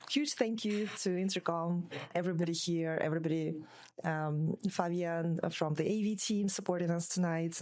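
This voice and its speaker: A woman hosting a meetup, giving an informal introduction in a halting way, searching for her words. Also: fairly low pitch, darkish tone. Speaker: meetup host.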